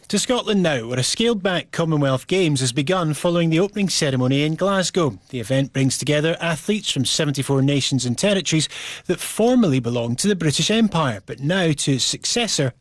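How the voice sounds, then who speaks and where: A man's voice: on the high side, no echo. A man presenting scripted broadcast news as a programme anchor.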